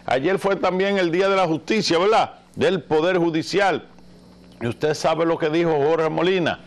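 A middle-aged man with a Dominican accent hosts a TV talk show, commenting on the news conversationally with rhetorical questions. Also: fairly high pitch. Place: TV studio at a desk. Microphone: lapel mic.

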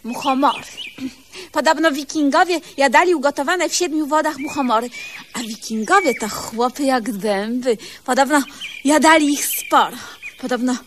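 High-pitched bossy comic radio woman, played by an actress. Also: normal speed, close to an everyday voice. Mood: brisk, dismissive. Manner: scolding, imperious, mock-solemn catchphrases. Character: bossy, exasperated, scornful comic woman, Eliza. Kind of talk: 1970s comic radio play acting. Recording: noisy old radio recording.

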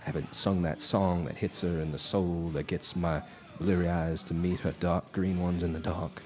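An actor playing a haunted, world-weary bar singer, brooding and halting, in a live radio drama. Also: male voice, deep voice, flat delivery, a little mellow, natural voice.

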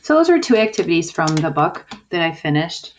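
A woman teacher giving a video lesson to young children in simple, deliberate phrases. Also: on the low side, very animated. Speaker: early-years school teacher. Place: indoors at a table, homemade video. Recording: dull lo-fi sound, clear noise.

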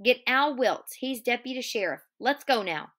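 A woman's voice: a little high, animated, moderate pace. A woman doing a furious hot-headed ranch man. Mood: angry. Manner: shouting threats, raised and forceful. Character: hot-tempered young ranch man, vengeful and bossy.

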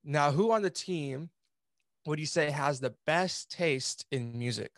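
A woman's voice: deep voice, unhurried, no echo, studio quality. A woman speaking encouragingly and politely as she hosts a casual group interview over a video call. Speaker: interviewer host. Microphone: video call microphone.

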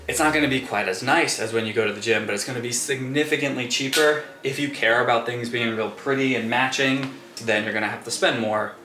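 A man's voice: medium pitch, steady tone, a little fast, pushed and bright, soft background music. Chatty man in an echoey basement. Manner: chatty, explains gear plainly. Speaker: fitness vlogger. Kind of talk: casual home gym tour. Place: small basement home gym.

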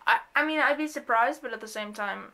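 A woman's voice: a little high, a little fast, bright, forceful tone. A young woman vlogger with a lisp, chatting casually and rambling in a small bedroom, filmed on a close camera. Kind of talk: casual chat.

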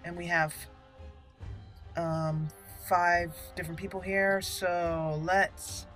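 Give me a woman doing casual video game commentary in a bright, forceful voice. She is playful and chatty, addressing the characters directly, with faint music behind her. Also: fairly low pitch, flat delivery, takes it slowly. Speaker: gaming channel host.

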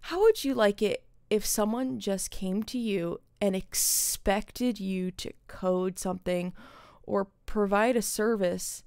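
A young woman with noticeable vocal fry talks at middling pitch. She gives casual, opinionated commentary in an earnest, emphatic, sweary way, recorded dry at a streaming desk. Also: slow pace, darkish tone, dry recording. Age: young adult. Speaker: gaming reaction streamer. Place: indoor streaming setup.

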